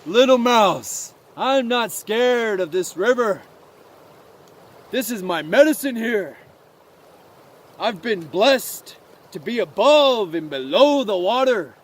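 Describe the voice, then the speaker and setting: A man voicing a calm, sly, wise frog in a story, speaking in a measured, coaxing way. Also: high voice, pitched above the performer's own voice, full character voice. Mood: serene, proud.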